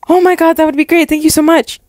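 A woman voicing an earnest, modest young actress in a story roleplay, with a halting, gushing manner. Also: very high voice, steady tone, a little mellow, no echo, pitched above the performer's own voice. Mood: thrilled.

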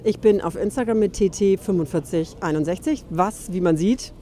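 A middle-aged woman from Germany speaks in a relaxed, mellow tone. She tells her story candidly and pokes fun at herself, like a car fan being interviewed outdoors. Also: mid-range voice, fairly quick, slight background noise. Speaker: car enthusiast and event organizer. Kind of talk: casual interview.

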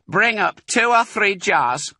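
A man voicing a sharp, impatient and bossy farmer's wife. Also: sits high, big pitch swings, moderate pace, no echo, pitched above the performer's own voice, heavily acted.

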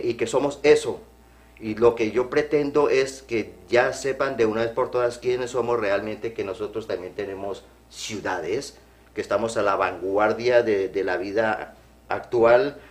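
Man, middle-aged, from Colombia, lowish voice, earnest, restarts phrases, TV studio interview, lapel mic. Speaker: program guest. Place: TV studio set.

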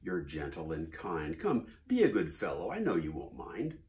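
A man voicing a lazy female bird who whines and pleads in a high, coaxing voice. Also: huge pitch swings, moderate pace, darkish tone.

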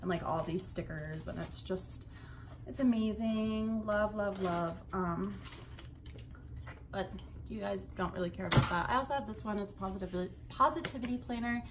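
A woman's voice: medium pitch, moderate pace, background noise. A young adult woman chatting casually to camera in an informal, rambling way, recorded in a bedroom. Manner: informal, swearing, rambling asides. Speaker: vlogger. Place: bedroom indoors.

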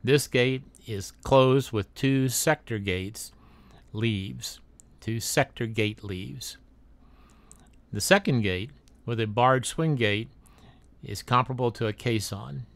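A man's voice: mid-range voice, takes it slowly, gravelly, dry recording. A man narrating a drone video as a read-aloud factual voice-over, with occasional slips and restarts. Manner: reads a factual text, with small slips and restarts.

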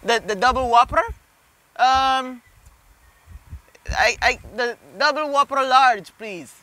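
Man putting on a very high, hesitant customer voice. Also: takes it slowly, pushed and bright, no room sound, heavily acted. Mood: polite, hesitant. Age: 20s. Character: polite, confused high-voiced male drive-thru customer. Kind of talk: prank ordering at a drive-thru. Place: inside a car at a drive-thru, at night. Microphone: camera from the passenger seat. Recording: faint noise inside a car.

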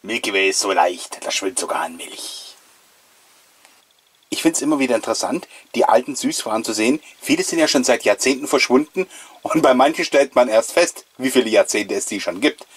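Husky-voiced man, playful commentary. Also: mid-range voice, lively intonation, brisk. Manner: chatty, playful, nostalgic. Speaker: host of a collectors' video show. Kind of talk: casual, jokey commentary on an old mail-order catalogue.

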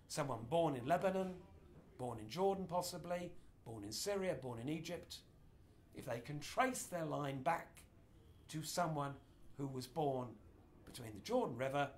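A man's voice: on the high side, animated, unhurried, fairly bright tone, slight background noise. A middle-aged man giving an informal history lecture in a small indoor room, gesturing broadly as he explains. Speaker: speaker giving a history talk.

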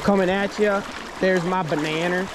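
A young American man vlogging while fishing in a river, excitable and slangy, with loud exclamations, recorded on a head-mounted camera. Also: high-pitched. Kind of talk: excited outdoor vlog commentary. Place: outdoors, wading in a river. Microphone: head-mounted action camera. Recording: loud rushing river noise.

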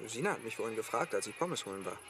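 A man's voice: lowish voice, lively intonation, rapid-fire, noisy background, lightly acted. An actor playing a brash, teasing teenage moped boy in a German radio play, offhand in manner.

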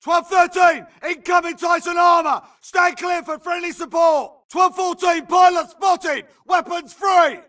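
An actor playing a tense infantry soldier in a video game, barking clipped, urgent combat callouts. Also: male voice, extremely high pitch, flat delivery, measured pace, few pauses, pushed and bright, raised voice, recorded from a distance, heavily acted. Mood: alert, commanding. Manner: clipped, urgent, radio-code jargon. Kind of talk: shouted military combat callouts.

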